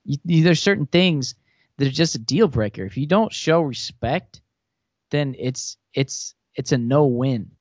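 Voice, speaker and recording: A man's voice: medium pitch, lively intonation, a little slow, no echo. A man who grew up in Thailand, a podcast guest, talking politics casually and rambling with many restarts. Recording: dull lo-fi, dry sound.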